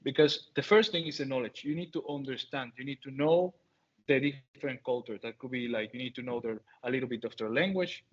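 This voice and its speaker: A man's voice: mid-range voice, fairly quick, slightly rough, lo-fi sound. A man in his thirties from Venezuela, speaking English with a Spanish accent and many fillers and restarts, giving a talk over a video call. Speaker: guest speaker. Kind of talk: online talk.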